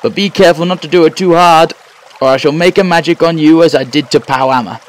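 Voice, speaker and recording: A man's voice: a little high, fast talker, voice pushed up, natural voice. A man voicing an ancient magician who speaks in solemn, commanding pronouncements. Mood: scolding.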